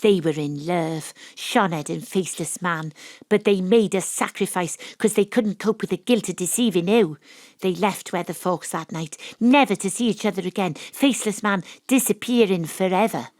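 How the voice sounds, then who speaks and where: Actress as a lowish-voiced, shrewd woman detective. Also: darkish tone, dry recording, natural voice. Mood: accusing. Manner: deliberate, probing, storytelling. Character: shrewd, theatrical female amateur detective narrating her case. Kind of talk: audio drama narration and dialogue. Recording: dry, close home-studio recording.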